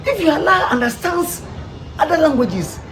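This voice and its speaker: A woman's voice: high voice, sing-song, moderate pace. A woman with a Ghanaian accent preaching, firing off repeated rhetorical questions and laughing between them. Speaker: street preacher. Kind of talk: preaching to listeners. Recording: noisy ambient background.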